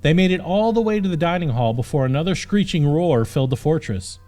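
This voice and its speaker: A man's voice: on the high side, expressive, fairly quick, warm tone, soft background music. A man narrating a scripted comic fantasy audiobook in a dramatic storytelling manner.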